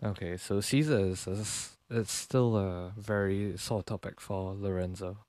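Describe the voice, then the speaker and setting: A man's voice: lowish voice, fairly even intonation, a little slow, darkish tone. A man giving casual, laid-back live commentary as he streams a game, often muttering short reactions.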